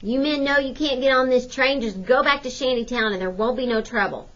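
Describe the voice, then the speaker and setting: A bossy storybook railroad policeman acted out by a woman, raised in pitch and forceful, laying down the law with folksy firmness. The audio is a little dull. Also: fairly quick. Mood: stern warning. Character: stern, bossy male railroad cop warning a crowd.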